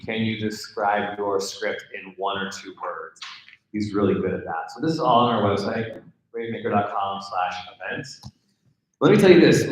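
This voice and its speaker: A man hosting a live gathering, making informal announcements in a conversational way and putting questions to his listeners. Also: lowish voice. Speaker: event host and program organizer. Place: indoor mixer venue in front of a gathering. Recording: very echoey room, live event.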